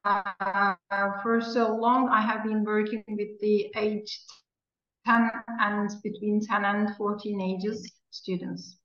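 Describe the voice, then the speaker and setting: A woman's voice: mid-range voice, level tone, slow, a bit bright. A woman from Turkey, an English teacher, introducing herself on a video call and correcting herself as she goes. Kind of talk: casual self-introduction. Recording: echoey, dull video call audio.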